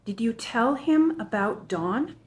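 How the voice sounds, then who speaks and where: A woman's voice: fairly high pitch, expressive, slow. A woman playing an earnest, probing character in a screenplay table read. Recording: roomy echoing table-read recording.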